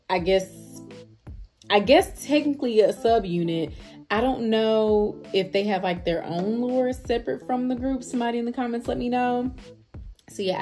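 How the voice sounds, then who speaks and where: A woman's voice: mid-range voice, dark tone. An American woman giving casual reaction commentary as a video host, speaking in a blunt, slangy, conversational way.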